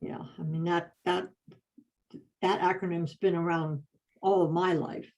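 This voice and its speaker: A woman's voice: lowish voice, flat delivery, unhurried, darkish tone, highs cut off. A woman in an adult class discussion, adding short agreeing remarks and chatty asides.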